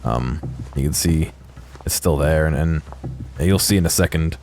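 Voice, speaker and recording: A man giving casual, unscripted video game commentary in a halting way, as if thinking aloud. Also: rumbling low, a little mellow. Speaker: host of a game exploration video. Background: music behind the voice.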